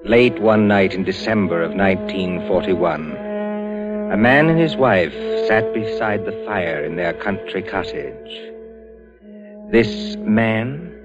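A man narrating a 1940s radio suspense drama in a dramatic, deliberate manner. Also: on the low side, sing-song. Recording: muffled 1940s radio broadcast recording. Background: dramatic music.

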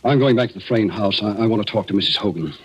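A man's voice: on the low side, quick pace, husky. An actor playing an earnest, probing man who narrates a murder mystery in a grave, formal 1940s radio style. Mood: pressing, probing. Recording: dull old radio recording with music.

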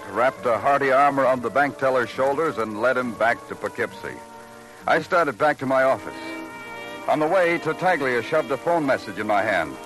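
A man acts as a hardboiled big-city police detective in an old radio crime play. He is terse and dry, with a sarcastic edge and a middling male pitch. Music touches the vintage recording. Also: a bit bright, natural voice, low-quality recording. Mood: hardboiled narration. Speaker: lead actor and narrator. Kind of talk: 1950s radio crime drama acting.

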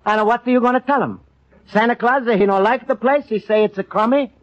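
A man's voice: very high-pitched, brisk, background noise. An actor playing a kindly, earnest immigrant man as a store Santa, with an Italian accent and broken English, in old radio style. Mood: earnest pleading. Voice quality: broken English with extra vowels. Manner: earnest, apologetic, eager to please. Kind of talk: old radio comedy-drama acting. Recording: dull, lo-fi old radio recording.

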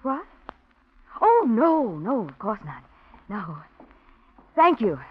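An actress playing an anxious young wife who speaks in a breathless, hesitant, stammering way, in 1940s radio acting, on a muffled old radio recording. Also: female voice, a little high, sing-song, slow, breathy edge, heavily acted.

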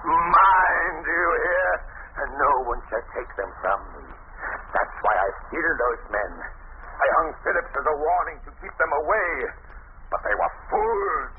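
An actor playing a crazed, greedy murderer in a menacing, gloating, theatrical style of old radio melodrama. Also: male voice, a little high, animated, a little slow. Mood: gloating menace. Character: crazed, greedy male murderer cornered in an abbey. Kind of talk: 1930s-40s radio melodrama acting. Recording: noisy, narrow-band old radio recording.

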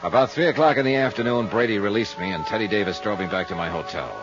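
An actor playing a laconic, world-weary investigator in 1950s radio drama, dry, clipped and matter-of-fact. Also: male voice, lowish voice, fast, music in the background, lightly acted. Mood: wry narrating. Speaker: lead detective and narrator. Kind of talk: 1950s hard-boiled radio acting and first-person narration. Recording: dull old radio recording.

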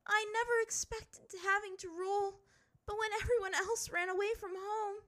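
A woman voicing an emotional, defensive teenage runaway princess in a high, quavering voice, in a storybook reading. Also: steady tone, normal speed, fairly bright tone. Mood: upset, pleading.

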